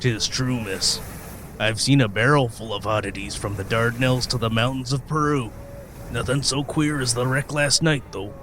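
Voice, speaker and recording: An actor playing a weathered, gruff old sailor in a radio play, telling sea tales in folksy, hesitant rustic speech. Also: male voice, mid-range voice, fairly even intonation, normal speed, a bit bright, a little hiss or hum, close to an everyday voice. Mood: gruff, modest.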